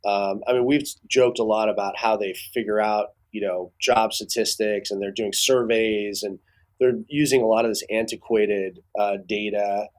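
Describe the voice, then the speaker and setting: A man giving casual expert commentary as an interview guest, building his points haltingly with repeated words. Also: fairly low pitch, steady tone, a little mellow.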